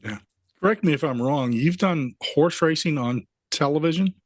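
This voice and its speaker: A man's voice: on the high side, normal speed, dry recording, clean studio sound. A man hosting a podcast, asking his guest questions in a casual conversational style. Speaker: podcast host.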